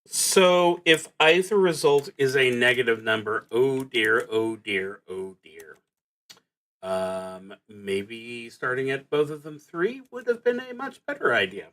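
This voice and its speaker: A man hosting a solo tabletop roleplaying stream, talking casually and haltingly as he thinks aloud, with long pauses. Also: medium pitch, expressive, fairly bright tone.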